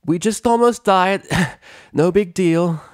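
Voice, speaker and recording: A man's voice: high-pitched, slow, no echo, studio quality, voice pushed up. A man voicing an anxious, affectionate middle-aged female pony with an earnest, flustered manner in a storybook reading. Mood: shaken relief.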